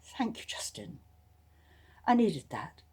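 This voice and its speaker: A young Regency woman acted by a female reader, wistful and polite over hidden heartache. She speaks haltingly and in a subdued way, as in a romance audiobook. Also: lowish voice, very animated, a little mellow, husky, exaggerated voice. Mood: tearful, hushed. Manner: hesitant, subdued, faltering. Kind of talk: dramatic romance audiobook reading.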